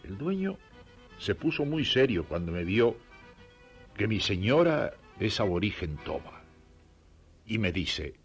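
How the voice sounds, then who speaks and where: Low, animated man telling his testimony. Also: takes it slowly, long pauses, soft background music. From Belgium. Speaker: rural worker giving testimony. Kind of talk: narrated personal testimony.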